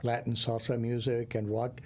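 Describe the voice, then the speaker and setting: A male host with a dark, mellow tone asks encouraging, leading questions to steer the conversation. A faint hiss of noise sits underneath the recording. His pitch stays in an ordinary middle range. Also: completely flat delivery, normal speed. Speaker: interviewer, host. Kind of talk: conversational interview.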